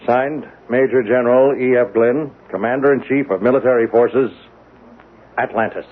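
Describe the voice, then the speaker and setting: An actor playing a formal army general dictating in an official, measured manner in a radio drama. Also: male voice, mid-range voice, fairly even intonation, a little hiss or hum, natural voice. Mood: formal, businesslike. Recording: muffled old radio recording.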